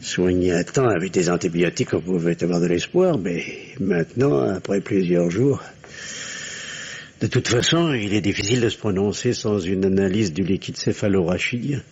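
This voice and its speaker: An actor in an old radio play, playing a weary, fatherly, middle-aged country doctor with a husky voice and a hesitant manner. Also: low voice, moderate pace, a little mellow. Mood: grave, concerned. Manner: hesitant, paternal. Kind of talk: old French radio drama acting. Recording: dull, lo-fi old radio recording.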